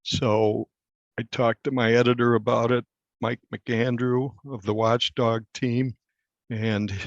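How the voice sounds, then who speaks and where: An American man in his sixties, a newspaper reporter, speaking conversationally and earnestly in an interview, in measured phrases. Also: medium pitch, no room sound, highs cut off. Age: 60s.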